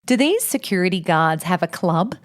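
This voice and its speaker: A woman's voice: lowish voice, sing-song, normal speed, a little hiss or hum. Aussie woman on a podcast, voice goes all over the place, blunt n sweary, cant believe it kinda banter. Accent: Australian. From Australia. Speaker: podcast co-host. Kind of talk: casual comic banter.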